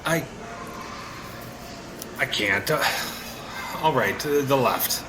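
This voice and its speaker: A man acting in an audio play as an anxious, indecisive everyman customer with a halting, uncertain delivery. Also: mid-range voice, close to an everyday voice. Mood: anxious, resigned. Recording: clearly noisy background.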